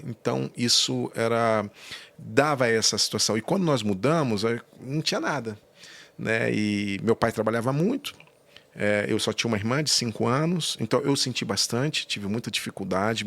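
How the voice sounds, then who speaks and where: A man's voice: lowish voice, vocal fry, fairly bright tone. A man, a podcast guest telling personal stories conversationally, often checking in with tag questions. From Brazil, suburbs of Rio de Janeiro. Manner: frequent tag questions seeking agreement.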